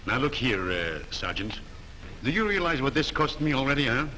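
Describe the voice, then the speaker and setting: An actor in a 1930s film playing an excitable studio president who fusses over money. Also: male voice, medium pitch, normal speed, background noise, natural voice. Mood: flustered. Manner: flustered, fussing over money. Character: excitable money-minded male studio boss.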